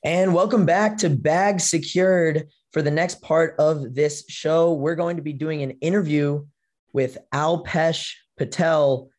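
A young American man hosting a podcast and interviewing in a casual way, his voice a little hoarse. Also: on the high side, steady tone, slow pace, a little mellow. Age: young adult. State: slightly hoarse. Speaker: podcast and college radio host. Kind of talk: casual show presenting and interviewing.

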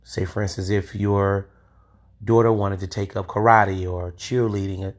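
A man's voice: lowish voice, little pitch movement, a little slow. A man in his forties hosting a solo podcast, talking in an emphatic, opinionated way with occasional swearing. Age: 40s. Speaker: podcast host.

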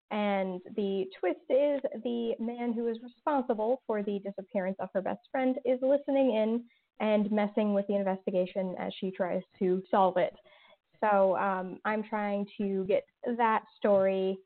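Woman on a tinny phone-like line. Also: medium pitch. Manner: rambling, many fillers and restarts. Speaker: podcast creator and guest. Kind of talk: casual interview answers.

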